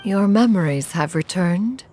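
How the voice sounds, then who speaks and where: A woman playing a dignified, aloof immortal, speaking in a formal, archaic and measured way in a game drama. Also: fairly low pitch, expressive, warm tone, soft background music. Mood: grave, sympathetic.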